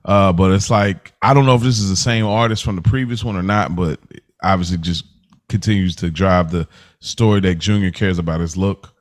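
A man's voice: low-pitched, fairly quick. Laid-back man recapping a show. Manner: rambling, loose, chuckles often. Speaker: podcast co-host. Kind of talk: casual recap chat.

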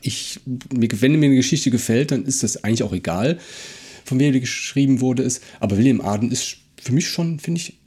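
Mann mit leicht belegter, weicher Stimme. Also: male voice, medium pitch, expressive, a little fast, dark tone, breathy edge. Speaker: podcast co-host. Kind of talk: casual podcast discussion.

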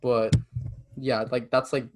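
A man's voice: medium pitch, little pitch movement, slow, noisy background. A young man from Canada, a podcast guest chatting casually, rambling with many fillers. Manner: rambling, many "like" fillers, acts out quoted texts. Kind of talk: casual interview chat.

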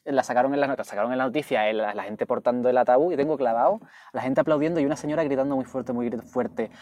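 A man in his twenties from Jerez with a softened Andalusian accent, chatting as a guest in a car. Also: mid-range voice, slight background noise. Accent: softened Andalusian Spanish. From Jerez, Andalusia, Spain. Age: 20s. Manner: fast-talking, animated, admits he speaks very quickly. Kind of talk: casual conversation. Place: inside a car.

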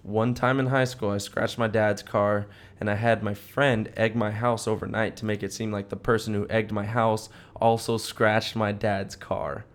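Podcast co-host: young American male, lowish voice, informal, slang-heavy, casual banter. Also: level tone, normal speed, hardly any pauses. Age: young adult.

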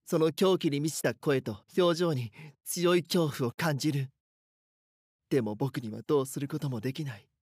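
A man's voice: on the high side, normal speed, a little mellow, husky, no echo, clean studio sound. A man playing a frightened, helpless schoolboy who narrates his own story in an audio drama. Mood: dread.

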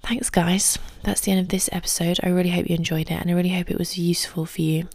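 A woman talks softly and calmly, her voice low with a gravelly fry, often dropping close to a whisper. She hosts a solo podcast from a quiet home early in the morning, and the sound is dry and close. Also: quick pace, dry recording. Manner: soft, calm and quiet, often near a whisper. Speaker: podcast host and ballet teacher. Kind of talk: solo podcast advice talk.

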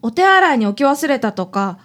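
A woman's voice: high-pitched, very animated, normal speed, a bit bright. A woman playing a brash, slangy young woman, a client's blunt friend, in a radio drama.

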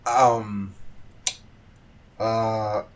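A man's voice: on the low side, little pitch movement, drawn-out words. A man in a casual podcast chat about games, thinking aloud hesitantly as he talks. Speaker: podcast panelist.

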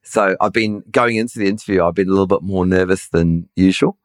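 Man, very low voice, nasal, hesitant, self-deprecating, dry sound. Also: fairly quick, dry recording. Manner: hesitant, self-deprecating, many fillers and restarts. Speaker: podcast host. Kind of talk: casual conversational interview.

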